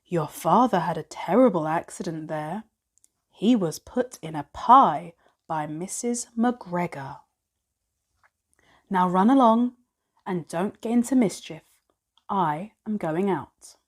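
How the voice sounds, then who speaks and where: A woman voicing a gentle but firm old mother rabbit from a storybook, instructing in a careful, hushed way. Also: on the low side, animated, slow pace, pauses often, slightly rough, heavily acted. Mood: gentle warning.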